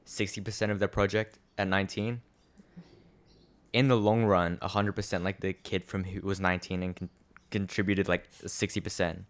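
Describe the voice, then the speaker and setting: A man's voice: low voice, level tone, moderate pace. A young man co-hosting a podcast, chatting casually and reflectively, rambling with many fillers. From Australia. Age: young adult. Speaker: podcast co-host. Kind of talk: casual chat.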